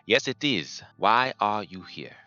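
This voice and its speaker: A man's voice: fairly low pitch, lively intonation, unhurried, pushed and bright, no room sound, soft background music, heavily acted. A man playing an arrogant, skeptical doctor of science with a pompous, demanding manner. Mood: impatient. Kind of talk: audio drama acting. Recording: slightly dull lo-fi recording.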